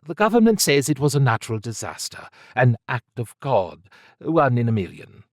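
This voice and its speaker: A tough woman agent in an audiobook story, voiced by a male narrator, lifted in pitch and recorded dry. She is cool and sardonic, needling with pointed, cutting remarks. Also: sing-song, moderate pace, dry recording, close to an everyday voice. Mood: probing.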